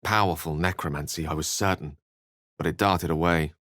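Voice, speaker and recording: A deep-voiced, eloquent wizard adventurer. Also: male voice, fairly even intonation, normal speed, a bit bright, no echo, studio quality. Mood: grave narration. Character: learned, dramatic male adventurer wizard, wry and eloquent. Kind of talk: scripted video game character lines and narration.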